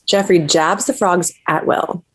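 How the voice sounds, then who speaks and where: A woman narrates stage directions from a script. Her voice sits a little low. The delivery is scripted screenplay narration. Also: steady tone, measured pace.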